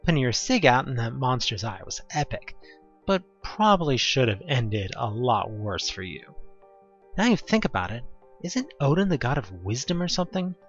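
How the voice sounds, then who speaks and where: A man's voice: medium pitch, very animated, moderate pace, muffled recording, faint music behind. A man narrating an interactive horror story in the second person, with a theatrical, wry delivery. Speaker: narrator of a fan audio drama.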